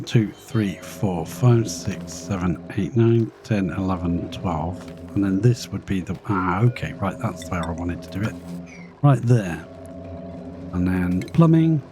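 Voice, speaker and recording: A man casually commenting on his live gameplay, chatty and thinking aloud as he plays, his voice slightly croaky after being ill. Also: low voice, slow, over music. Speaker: live streamer.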